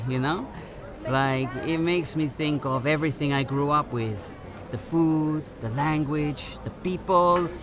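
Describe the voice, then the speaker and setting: A man's voice: fairly high pitch, lightly acted. A man in his thirties from Brazil, a native Portuguese speaker, chatting thoughtfully as a street interviewee. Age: 30s. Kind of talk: scripted course-book interview. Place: busy street outdoors. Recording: street interview with clear crowd noise. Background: crowd and traffic.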